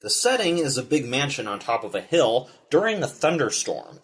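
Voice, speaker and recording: Man narrating with very animated pitch. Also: moderate pace. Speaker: film reviewer and show host. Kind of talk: scripted video essay narration.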